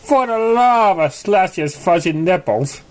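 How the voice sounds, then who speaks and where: Man voicing a fretful young country filly, raised pitch. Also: lively intonation, measured pace. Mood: exasperated. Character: earnest, exasperated young country filly in a cartoon story.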